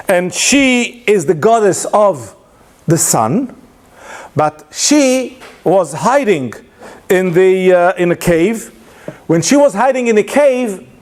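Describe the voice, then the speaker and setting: A man giving an informal lecture, telling a story with many hesitations and restarts, English not his first language. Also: high-pitched. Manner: animated storytelling with laughs. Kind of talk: informal lunchtime talk.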